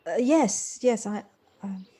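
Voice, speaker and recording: A woman in an audio play acting an earnest, reflective woman with a halting, tentative delivery. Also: medium pitch, expressive, dark tone, slightly rough. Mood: wistful, hesitant. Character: earnest, hesitant woman coming home softened and reflective. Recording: home recording on personal device, music behind.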